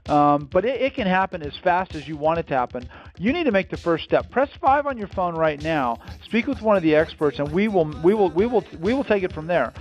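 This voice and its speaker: A man giving an emphatic, salesy pitch as a company founder on a promotional call, repeating phrases for effect. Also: expressive, fairly quick, few pauses, faint music behind. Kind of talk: promotional interview on a conference call.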